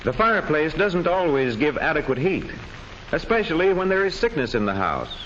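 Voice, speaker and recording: Highly animated male film narrator. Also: normal speed. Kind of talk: scripted educational film narration. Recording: dull old film soundtrack with faint noise.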